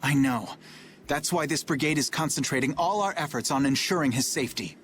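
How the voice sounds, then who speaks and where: An actor playing an earnest, dutiful young knight captain in a video game, speaking formally and with solemn restraint. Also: male voice, on the high side, brisk, fairly bright tone, slight background noise, close to an everyday voice. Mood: resolute. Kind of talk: English video game voice acting.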